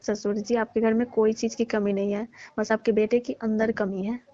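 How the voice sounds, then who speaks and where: A woman's voice: fairly high pitch, level tone, moderate pace, warm tone, close to an everyday voice. A woman acting an aggrieved, insistent young newlywed daughter-in-law in a staged phone-call drama, complaining in colloquial speech. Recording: dull, lo-fi dry call audio.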